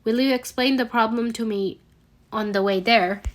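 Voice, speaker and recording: A woman's voice: a little high, steady tone, moderate pace, close to an everyday voice. A woman voicing an eager, awkward young man acting as boss in a fan fiction story, with a polite manner.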